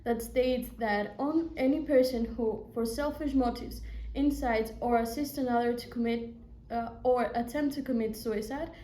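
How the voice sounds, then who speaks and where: A woman's voice: on the high side, steady tone, moderate pace, dark tone. A young woman from Greece, a law student, reading a prepared presentation aloud with small slips. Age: young adult. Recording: echoey room with faint noise.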